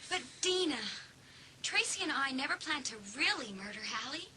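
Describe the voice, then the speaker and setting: Actress as emphatic teen heroine in horror audio drama; lively swooping delivery, forceful edge, slight background noise. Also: female voice, high-pitched, normal speed, heavily acted. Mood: insistent. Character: determined, accusing teenage girl confronting a treacherous friend.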